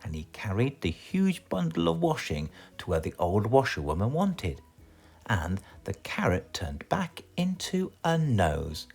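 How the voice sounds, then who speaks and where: A British man reads a children's tale in a low, husky voice. His pitch swings widely as he performs the narration with theatrical flourish. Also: unhurried, soft background music. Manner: theatrical, expressive delivery. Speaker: storyteller reading aloud. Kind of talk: animated children's storybook narration.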